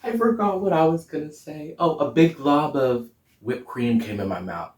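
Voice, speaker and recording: A man's voice: a little high, moderate pace, a little mellow, recorded from a distance. A young man in drag hosting a home cooking vlog, bubbly and giggly, swearing often as he tastes his food. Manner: bubbly, giggly, swears often, puts on a mock Irish lilt. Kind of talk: casual cooking vlog chat. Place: home kitchen, indoors. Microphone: camera mic from a few feet away. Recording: roomy kitchen echo, off-mic.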